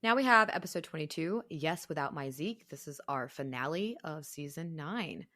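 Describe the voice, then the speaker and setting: Southern woman with a lower, bright voice. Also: normal speed, no room sound, studio quality. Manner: chatty, animated, swears casually. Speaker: podcast co-host. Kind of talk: casual chat.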